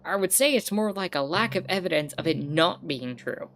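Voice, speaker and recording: A woman's voice: lowish voice, expressive, normal speed, fairly bright tone, a little hiss or hum. A woman voicing a stubborn conspiracy theorist bug in a video game, argumentative and insistent. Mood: insistent, contrary.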